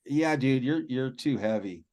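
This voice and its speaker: Relaxed rambling male singer. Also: medium pitch, a little slow. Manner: relaxed, rambling, self-deprecating storyteller. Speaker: rock and metal singer, guest. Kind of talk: casual interview storytelling.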